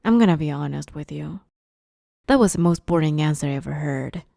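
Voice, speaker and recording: A woman playing a playful, smug, menacing vampire interviewer in an ASMR roleplay, teasing and drawling, often slipping into breathy whispers. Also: low-pitched, animated, fairly quick, mellow, no room sound, clean studio sound. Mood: mock disappointed, playful. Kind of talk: ASMR roleplay, scripted monologue.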